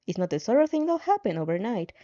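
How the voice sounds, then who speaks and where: A woman voicing a calm, controlling game-like system guide in a fan fiction reading, patient and reassuring with a menacing edge. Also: mid-range voice, fast talker, dull audio, lightly acted. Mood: patient, persuasive.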